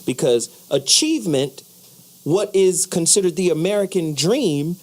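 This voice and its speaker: A man's voice: fairly high pitch, expressive, a little slow. Male talk host, emphatic delivery, frequent affirming murmurs. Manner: emphatic, lots of "mm-hmm" backchannel. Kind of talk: radio talk show chat.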